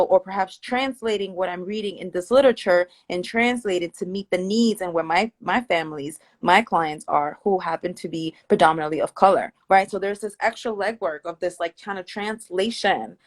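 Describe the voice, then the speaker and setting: A woman's voice: medium pitch, normal speed, few pauses. A woman therapist whose first language is not English, talking through her thoughts in a reflective, searching way on a casual live stream. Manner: reflective, thinking aloud with many "like" fillers and restarts. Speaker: therapist guest.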